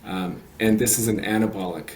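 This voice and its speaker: A man's voice: fairly low pitch, steady tone, a little fast, a little mellow, slight background noise. A man in his late thirties, a coach talking as a podcast guest, earnest and rambling with many fillers and restarts. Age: 30s. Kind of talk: podcast interview.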